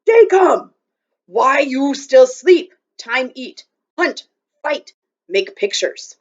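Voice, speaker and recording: A woman's voice: mid-range voice, very animated, takes it slowly, a bit bright, highs cut off, exaggerated voice. A woman doing a stern, clipped little warrior man. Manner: clipped broken speech, commanding. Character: stern tiny warrior man, terse and proud.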